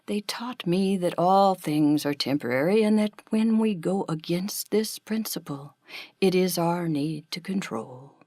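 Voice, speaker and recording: A patient female animal guide from a children's story, played by a woman. She speaks slowly and formally, gently admonishing, in a sensible and measured way. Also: fairly low pitch, no echo, clean studio sound. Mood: solemn.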